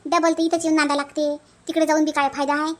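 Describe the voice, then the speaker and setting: A woman's voice: high voice, flat delivery, fast, close to an everyday voice. A woman voicing a put-upon young village daughter-in-law in a cartoon, with a Varhadi Marathi accent and plaintive, grumbling delivery. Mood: plaintive, self-pitying. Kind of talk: animated family comedy dialogue.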